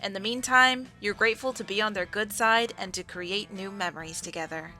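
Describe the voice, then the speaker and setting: A woman's voice: mid-range voice, a little fast, sharp tone, faint music behind. A woman reading scripted narration for a visual novel style video game dub.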